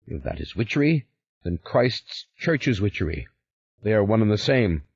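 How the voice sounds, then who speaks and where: A man's voice: on the low side, big pitch swings, normal speed, darkish tone, slightly rough, no room sound, exaggerated voice. A man voicing a stern, devout older father who speaks in a commanding, solemn way. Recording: slightly muffled recording.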